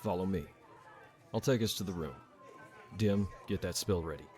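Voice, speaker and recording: A man playing a calm, fatherly bartender and former royal guard in a fantasy audio drama, measured and easygoing. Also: sits low, a faint crowd, lightly acted. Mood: steady, serious. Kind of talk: amateur fantasy audio roleplay acting.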